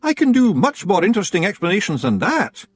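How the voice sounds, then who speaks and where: A tiny male fairy chief, Scottish accent, squeaky high pitch, bouncy lively delivery, blustering and evasive, storybook. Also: brisk, husky, no room sound, exaggerated voice. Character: small blue fairy clan chief, male, excitable.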